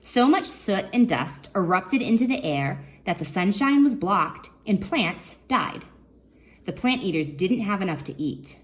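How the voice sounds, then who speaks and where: A woman children's librarian reading a nonfiction book aloud, expressively, pausing to put questions to young listeners. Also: mid-range voice, big pitch swings.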